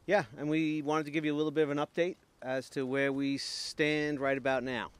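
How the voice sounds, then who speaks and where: A man's voice: on the high side, flat delivery, brisk, clean tone, no room sound. A middle-aged man from Long Island, explaining his building plans in a plain, practical way, recorded outdoors by a nearby camera. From Long Island, New York. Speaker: homesteading vlogger. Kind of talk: casual chat. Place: outdoors by a tent garage.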